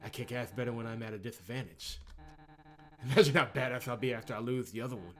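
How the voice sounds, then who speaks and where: A man's voice: mid-range voice, fast talker, natural voice. Visual novel role: cocky, blunt young fighter woman, read by a man, mocking and teasing. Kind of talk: visual novel character reading.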